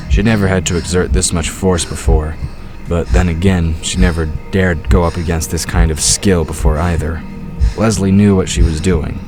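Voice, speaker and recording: Extremely deep-voiced man narrating fantasy. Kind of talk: scripted fantasy audio drama narration.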